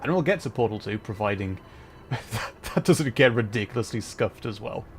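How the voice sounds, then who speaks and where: A man hosting a livestream, giving casual, halting gameplay commentary as he thinks aloud. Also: lowish voice, animated, slight background noise. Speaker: livestream host playing a video game.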